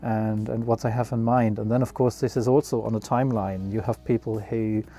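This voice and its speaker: German man with a mellow voice. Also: fairly low pitch, steady tone, brisk. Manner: thoughtful, many fillers and restarts. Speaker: yacht builder CEO. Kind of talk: casual conversation on a sailboat. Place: outdoors on a sailboat cockpit.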